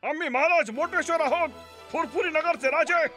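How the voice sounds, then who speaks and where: Puffed-up hot-tempered cartoon king, high voice, big royal speeches, music under it. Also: normal speed, bright, forceful tone. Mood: haughty. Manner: grandiose, declamatory royal speech. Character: pompous, hot-tempered man who believes he is a king. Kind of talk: exaggerated cartoon dub acting.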